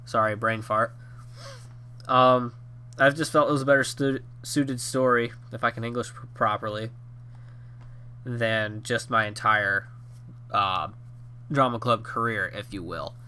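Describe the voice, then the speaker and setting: Rambling young man, bright nasal voice. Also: mid-range voice, steady tone, measured pace, pauses often, clear, resonant tone. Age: 20s. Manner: rambling with many fillers and restarts. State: nasal and hoarse from a cold. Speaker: YouTube storyteller. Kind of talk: rambling casual storytime.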